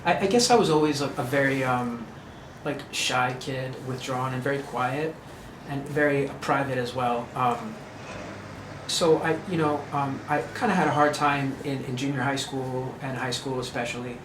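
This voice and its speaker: A man's voice: medium pitch, moderate pace. A man, a guest in a casual interview, talking hesitantly with many ums and restarts. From United States. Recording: echoey room with clear background noise.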